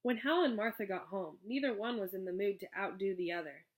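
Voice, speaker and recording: A woman narrating a children's storybook aloud in an expressive, scripted read-aloud style. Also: medium pitch, brisk. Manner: expressive storybook reading with character dialogue.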